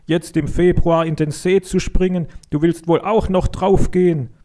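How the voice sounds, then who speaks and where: An alarmed woodcutter acted by a male storyteller scolds in a raised, louder voice. It is a gruff-worded rebuke from a children's audio story, pushed well above normal talking pitch. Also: fairly even intonation, moderate pace, lightly acted. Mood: alarmed, scolding.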